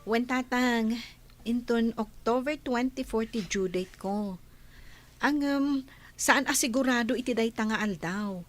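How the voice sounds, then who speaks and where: A woman's voice: on the high side, unhurried, soft background music, natural voice. A woman playing an anxious pregnant young wife in an audio drama. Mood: weary, expectant. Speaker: voice actor. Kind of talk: dramatised audio story acting.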